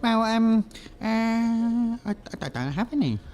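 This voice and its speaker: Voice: mid-range voice, animated, slow pace, a little mellow. A performer playing a meek, earnest, simple-minded jobless man in a comic radio sketch, polite and hesitant.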